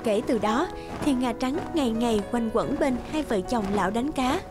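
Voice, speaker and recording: A woman narrates a scripted cartoon fairy tale in a high voice. Clear music plays behind her storytelling. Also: normal speed. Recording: music under the voice.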